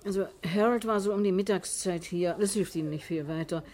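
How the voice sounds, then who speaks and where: An actress playing a talkative, plain-spoken café owner in a 1960s German radio crime drama. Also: female voice, lowish voice, a little fast, darkish tone, lightly acted. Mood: chatty, firm. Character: chatty, no-nonsense female proprietor of a roadside café.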